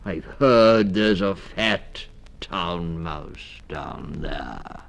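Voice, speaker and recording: Menacing night-hunting owl for a storybook, hushed, drawn out, creaky , male reader. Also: sits low, animated, extremely slow, exaggerated voice. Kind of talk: 1960s children's storybook record.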